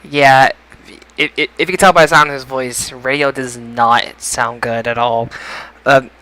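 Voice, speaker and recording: A man's voice: mid-range voice, steady tone, a little slow, pushed and bright, poor audio. A man hosting a casual podcast, announcing like a showman and stumbling over his words at times. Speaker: podcast host. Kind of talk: casual podcast show intro and chat.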